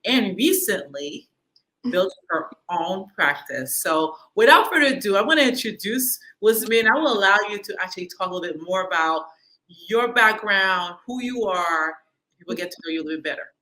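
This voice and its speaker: A woman's voice: mid-range voice, lively intonation, moderate pace, sharp tone, poor audio. A woman hosting a virtual summit, introducing her guest with upbeat enthusiasm over a video call. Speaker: summit host. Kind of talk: interview introduction.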